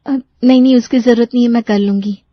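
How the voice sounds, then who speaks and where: A woman's voice: on the high side, steady tone, fast talker, no echo, highs cut off, pitched above the performer's own voice, lightly acted. A woman voicing a meek, flustered young newlywed in a cartoon story, hesitant, apologetic and stammering. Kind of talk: Hindi cartoon moral story voice acting.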